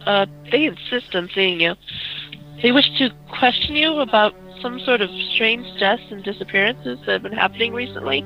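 A woman's voice: a little high, moderate pace, pushed and bright, faint music behind, low-quality recording. A woman playing a worried, deferential servant, hesitant and nervous in an amateur radio play.

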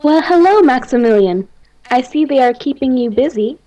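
A woman's voice: high-pitched, lively intonation, normal speed, warm tone. A woman playing an obsessive, imperious mad scientist, formal and theatrical, in an amateur radio play with dull recording. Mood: polite, controlled. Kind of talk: gothic radio play acting. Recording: dull, muffled home recording.

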